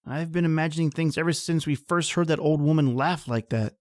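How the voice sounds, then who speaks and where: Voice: mid-range voice, a little fast, darkish tone, dry recording, studio quality, natural voice. An actor playing John, a nervous, deferential male companion, anxious and complaining, in the style of old-time radio horror. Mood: fearful.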